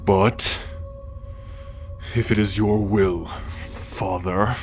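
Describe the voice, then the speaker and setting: Male actor as arrogant immortal son, resentful, sighing, slow delivery over music in audio roleplay. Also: lowish voice, steady tone. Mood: bitter resignation. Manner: sighing, grudging, haughty. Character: proud, scornful immortal son, resentfully submitting. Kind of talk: scripted ASMR audio roleplay.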